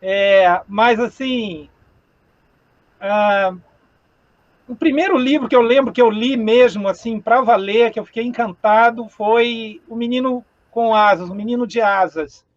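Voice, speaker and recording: A man from southern Brazil, a guest writer, telling childhood stories in a casual chat, excited and rambling with frequent restarts. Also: extremely high pitch, measured pace, no echo, dull audio.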